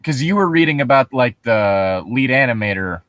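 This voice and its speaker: A man's voice: medium pitch, expressive, normal speed, fairly bright tone, no room sound. A man co-hosting a comedy podcast, casually riffing on horror stories in a profane, sarcastic, ranting way. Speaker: podcast co-host.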